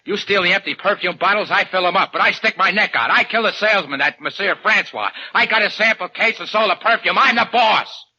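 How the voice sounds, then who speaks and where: A man's voice: medium pitch, animated, quick pace, bright, forceful tone. An actor playing a menacing male crook who poses as a French salesman, snarling and bossy, in a 1940s radio drama. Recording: dull old radio recording with faint hiss.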